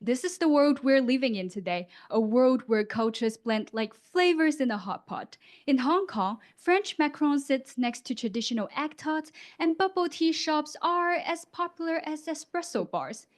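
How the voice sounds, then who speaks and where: A woman's voice: high voice, normal speed, few pauses. Young woman giving a rehearsed speech. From Guangdong, China. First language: Cantonese. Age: young adult. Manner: rehearsed, expressive delivery with rhetorical questions. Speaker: student contestant. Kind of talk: prepared competition speech.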